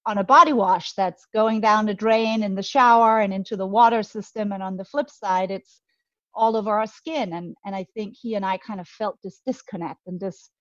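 A woman's voice: medium pitch, moderate pace, fairly bright tone, dry recording, lo-fi sound. A woman from Denmark with a Danish accent speaks as a podcast guest, conversational and enthusiastic, thoughtfully searching for her words. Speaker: guest, beauty brand co-founder.

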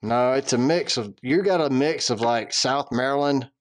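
A man's voice: mid-range voice, normal speed. A man with a Southern accent co-hosting a podcast, bantering in a dry, self-deprecating way. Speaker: podcast co-host. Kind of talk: casual chat.

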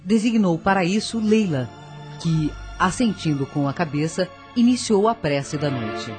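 A woman's voice: lowish voice, expressive, a little slow, music in the background. A woman narrating a scripted radio drama in a solemn, formal storytelling manner.

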